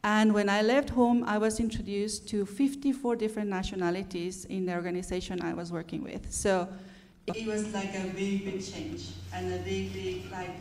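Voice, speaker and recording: A woman, speaking as a guest on a church panel in a relaxed, hesitant way with many fillers. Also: mid-range voice, normal speed, few pauses. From Mexico. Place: church stage before a congregation. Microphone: handheld mic.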